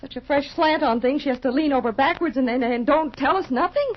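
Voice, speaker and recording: A woman's voice: high-pitched, fairly quick, natural voice. An actress playing a fretful, nosy young married woman in a 1950s radio soap, whiny and insistent, with folksy grammar. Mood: exasperated. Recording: muffled 1950s radio recording.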